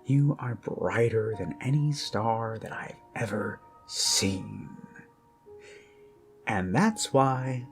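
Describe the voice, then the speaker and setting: A performer of unclear sex improvising an ASMR roleplay as an obsessed kidnapper who dotes menacingly on a captive, halting and slipping into whispers. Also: mid-range voice. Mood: adoring, menacing. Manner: slow, halting, lapsing into whispers and giggles. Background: eerie background music.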